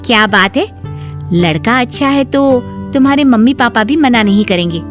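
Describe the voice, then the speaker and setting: A fairly high voice of an affectionate young sister, played by a woman. She talks in an earnest, chatty cartoon-story manner over plucked guitar music. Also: animated, normal speed, natural voice. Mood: friendly, curious. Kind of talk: acted dialogue in Hindi moral story cartoon.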